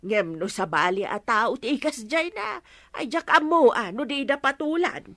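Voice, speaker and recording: High-pitched nagging village woman. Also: animated, normal speed, a bit bright, no room sound, clean studio sound. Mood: exasperated. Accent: Ilocano. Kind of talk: broadcast comedy drama acting in Ilocano.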